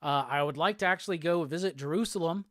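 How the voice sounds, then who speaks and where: A man from Alabama with a Southern accent, hosting a casual live show and answering chat comments in a relaxed, rambling way. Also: fairly high pitch, fast, no echo, studio quality. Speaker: host.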